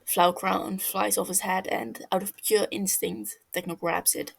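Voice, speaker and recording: A woman reading a fan fiction story aloud in a hesitant, halting narration. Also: medium pitch, little pitch movement, creaky, breathy edge.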